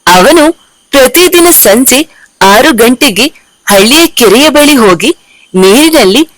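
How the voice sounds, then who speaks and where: A woman narrating a children's cartoon story in a slow, deliberate storytelling way. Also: sits high, animated, no room sound, low-quality recording.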